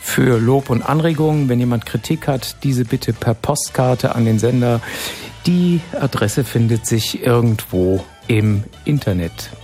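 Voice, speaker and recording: A man hosting a relaxed radio magazine from a studio, chatty and full of fillers. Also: medium pitch, measured pace, soft background music. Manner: chatty, hesitant with many fillers. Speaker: radio host.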